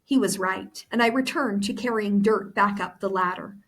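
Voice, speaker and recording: A woman's voice: mid-range voice, moderate pace, fairly bright tone, breathy edge. A woman teacher reading a story aloud expressively, with explanatory asides.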